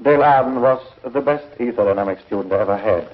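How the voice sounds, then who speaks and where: A man acting a polite, measured professor in a 1950s TV science fiction serial. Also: medium pitch, natural voice. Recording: tinny, narrow old film soundtrack.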